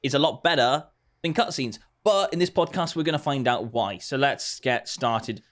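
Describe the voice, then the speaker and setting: A man's voice: medium pitch, normal speed, fairly bright tone. A man hosting a casual gaming podcast, chatty and prone to repeating himself. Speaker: podcast host.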